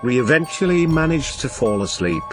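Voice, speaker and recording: Expressive man narrating over clear music. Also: mid-range voice, normal speed. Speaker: horror story narrator. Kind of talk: scripted first-person creepypasta narration. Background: background music.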